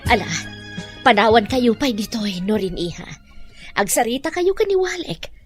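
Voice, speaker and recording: A woman's voice: on the high side, big pitch swings, normal speed. A woman playing a concerned, firm middle-aged mother in an Ilocano melodrama, with an Ilocano accent and music behind her. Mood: urging. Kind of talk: Ilocano radio-style melodrama acting.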